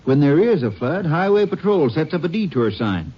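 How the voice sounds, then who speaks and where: An actor playing a friendly small-town Texas sheriff in a 1950s radio drama, speaking in a folksy, easygoing way. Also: male voice, fairly high pitch, very animated, moderate pace, slightly rough. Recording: dull lo-fi old radio recording with faint hiss.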